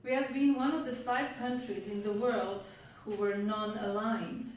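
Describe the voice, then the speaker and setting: A woman from Yugoslavia, a native Hungarian speaker, performing a spoken-word monologue on a venue stage in a measured, reflective way with wry asides. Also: medium pitch, fairly even intonation, a little hiss or hum. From Yugoslavia (Vojvodina). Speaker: stage storyteller. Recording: roomy, distant live hall sound.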